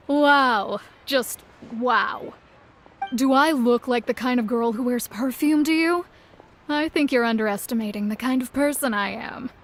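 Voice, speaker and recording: A woman's voice: high voice, big pitch swings, takes it slowly, a bit bright, slight background noise, exaggerated voice. An actress playing a self-assured, no-nonsense female guild master in a video game, with a casual, blunt manner. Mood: incredulous. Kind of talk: video game character acting.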